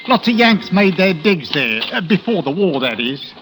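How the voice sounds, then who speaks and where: A man's voice: high-pitched, sing-song, moderate pace. A man playing a chatty, working-class Londoner in an old radio drama, speaking in a folksy, gossipy way. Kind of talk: 1940s radio drama acting. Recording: old radio broadcast with music bed.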